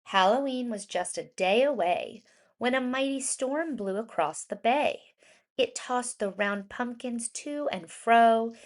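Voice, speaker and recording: Slow, high-voiced woman reading a children's story. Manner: expressive, deliberate storytelling with emphasized words. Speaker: children's storybook reader, educator. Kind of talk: dramatic read-aloud of a rhyming children's story.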